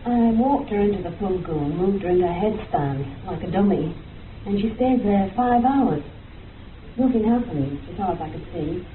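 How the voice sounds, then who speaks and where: A woman's voice: mid-range voice, animated, moderate pace, natural voice. An actress playing a guilt-ridden woman confessing in a radio drama, heard on a muffled, echoey recording. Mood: guilty, subdued. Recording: distant, echoey, muffled, faint hiss.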